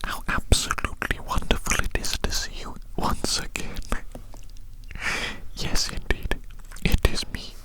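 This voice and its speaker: A woman voicing a polite, curious alien doctor posing as a human in an ASMR roleplay, whispering breathily right up close and slowly repeating phrases with odd formality. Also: close to an everyday voice. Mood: curious, politely delighted. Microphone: very close to the microphone.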